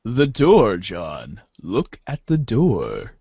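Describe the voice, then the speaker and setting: A man's voice: lowish voice, huge pitch swings, very slow, gravelly, warm tone, muffled recording, a little hiss or hum, voice pushed down, full character voice. A man voicing a bossy, theatrical, alarmed drifter giving orders in a fan theater piece. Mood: commanding, alarmed.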